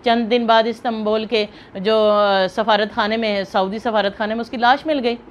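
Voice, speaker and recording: A woman's voice: on the high side, fairly even intonation, normal speed. A woman with a Pakistani accent giving earnest, emphatic, unscripted commentary to viewers, often rephrasing as she goes. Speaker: video host and commentator. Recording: muffled lo-fi, clear background noise.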